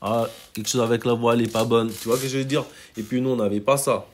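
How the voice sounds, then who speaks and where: A man's voice: medium pitch, fairly even intonation, quick pace, darkish tone, a little hiss or hum. A man from Congo, a rapper guest, talking in a laid-back, slangy way and often saying "you see". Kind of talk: casual interview chat.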